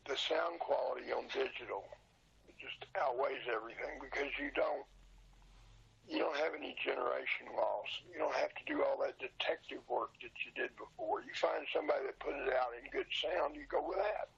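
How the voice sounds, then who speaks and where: An older man, past sixty, with a gravelly, husky voice, talking casually and plainly as an interview guest, explaining things informally. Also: mid-range voice, sing-song, normal speed, a crowd in the background. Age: 60s or older. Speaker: interview guest, old radio show collector and seller. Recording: dull lo-fi sound.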